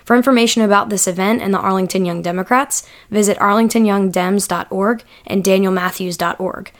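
A woman hosting a podcast, reading a calm, scripted introduction. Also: medium pitch, fairly quick. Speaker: podcast host.

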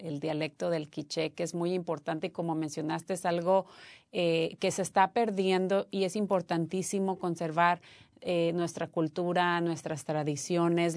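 A woman's voice: fairly low pitch, a little mellow, dry recording, studio quality. A woman interviewing a guest, speaking with enthusiasm and with frequent hesitant restarts. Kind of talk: casual interview.

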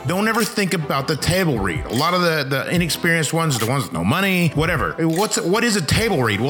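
A man's voice: a little high, a bit bright. A man giving casual, instructional advice as a screenwriter, rambling and emphatic, with a wry, self-deprecating manner. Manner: self-deprecating, wry, acts out imagined reactions. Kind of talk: casual instructional talk to camera.

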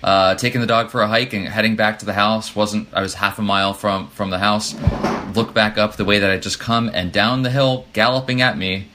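A man co-hosting a casual podcast, telling stories with animation and throwing in goofy voices. His voice is lowish but bright and forward. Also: fairly quick, a little hiss or hum. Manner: animated storyteller, jumps into silly voices. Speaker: podcast co-host.

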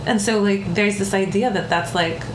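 A woman's voice: mid-range voice, fairly even intonation, moderate pace. Reflective woman in an echoey room. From Bronx, New York. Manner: reflective, frequent "like" and "right?" tags. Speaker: podcast guest. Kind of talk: conversational interview. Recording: echoey room with faint noise.

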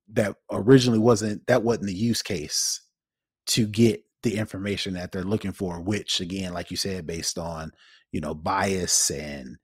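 Casting: male podcast co-host, very low husky voice, conversational, feeling his way through each point. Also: dry recording, clean studio sound. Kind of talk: conversational commentary.

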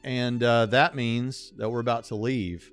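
A man hosting a podcast in casual, animated chat, often repeating words as he speeds along. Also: mid-range voice, measured pace, soft background music. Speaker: podcast host.

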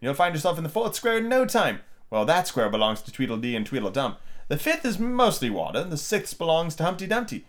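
A man voicing a brisk, bossy chess queen from a storybook, speaking in an imperious, instructing manner. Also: on the high side, very animated.

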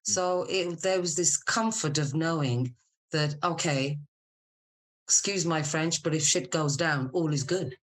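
A middle-aged woman with a low-pitched voice. She talks as an interview guest, casual and candid. Her delivery is chatty and conversational, suited to personal storytelling. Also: measured pace, poor audio. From Scotland. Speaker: podcast guest, military spouse and business owner.

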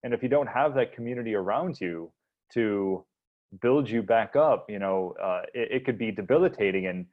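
A man with a deep voice hosting a podcast, speaking earnestly and haltingly, often restarting his sentences. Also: phone-quality sound. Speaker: podcast host. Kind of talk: reflective podcast talk.

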